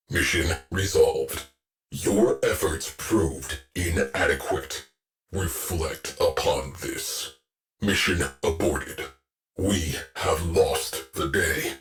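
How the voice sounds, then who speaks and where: An actor playing a terse, stern male shipboard AI in a video game, giving clipped, deadpan, commanding alerts. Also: deep voice. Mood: flat, satisfied, then grim, disapproving. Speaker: ship AI giving mission alerts. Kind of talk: scripted game voice lines, clipped announcements.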